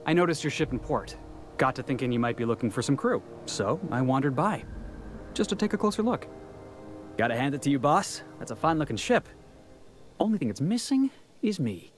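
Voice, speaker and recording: A man voices an eager young American drifter from a video game, cocky and earnest. His delivery is very animated, with bravado that often trips over itself. Music plays behind him. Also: mid-range voice, a little fast, long pauses, lightly acted. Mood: cocky, pleading. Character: eager, cocky young male drifter seeking crew work. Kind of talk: video game character acting.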